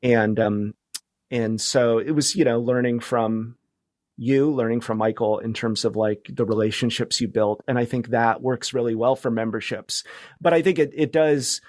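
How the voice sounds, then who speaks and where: A man's voice: mid-range voice, fairly even intonation, moderate pace, darkish tone, no echo, clean studio sound. A middle-aged man chatting informally and discursively as a guest in a casual interview. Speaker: interview guest, podcast producer.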